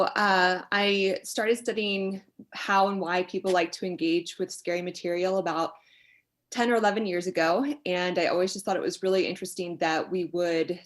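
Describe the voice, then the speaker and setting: A middle-aged woman from the United States, an expert guest explaining her work conversationally in a thoughtful, halting, reflective way. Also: on the low side. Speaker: expert guest, sociologist and author.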